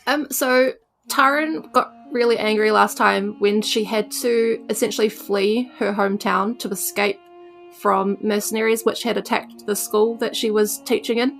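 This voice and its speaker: Creaky-voiced woman on a tabletop game podcast, chatty, telling her character's backstory plainly. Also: mid-range voice, steady tone, normal speed, a bit bright, soft background music. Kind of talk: casual recounting of a character's story.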